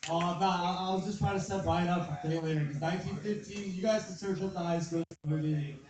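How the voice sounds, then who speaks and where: Brash tipsy comic in a noisy club. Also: male voice, high voice, fairly quick. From China. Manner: loud, brash crowd work with frequent "like" fillers. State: tipsy after a few beers. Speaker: stand-up comedian. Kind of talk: stand-up comedy set. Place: comedy club stage. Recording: echoey room, recorded from a distance, lively crowd.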